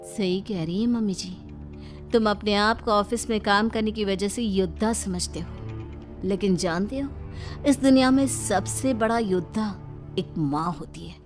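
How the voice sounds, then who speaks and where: A woman's voice: medium pitch, a little slow, lightly acted. A woman voicing a playful young daughter-in-law in a cartoon story, breezy and a little swaggering. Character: cheeky playful young wife, swaggering film-hero fan. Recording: music behind the voice.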